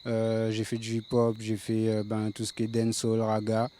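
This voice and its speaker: A man in his late thirties, originally from the Paris suburbs, talks about his music in a calm, monotone voice full of fillers. A faint background noise runs under the recording. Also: fairly low pitch, normal speed. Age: 30s. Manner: laid-back, many fillers, flat delivery. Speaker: reggae singer guest. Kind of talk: casual interview.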